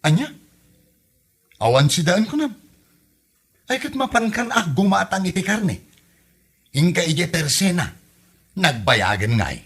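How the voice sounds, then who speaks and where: A man's voice: high voice, sing-song, unhurried, pauses often, a bit bright, breathy edge, exaggerated voice. A man playing a nagging villager in an Ilocano comedy drama, scolding and questioning, with an Ilocano accent.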